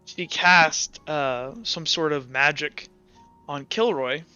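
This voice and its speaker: A man with a fairly high, very bright voice runs a casual roleplay game. He talks in stops and starts as game master, over background music. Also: slow pace, clean tone, muffled recording. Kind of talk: casual tabletop roleplay session talk.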